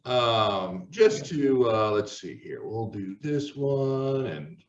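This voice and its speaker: A man's voice: medium pitch, sing-song, slow pace. A man hosting a casual talk show, chatting informally. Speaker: host. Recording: roomy, distant, dull microphone sound.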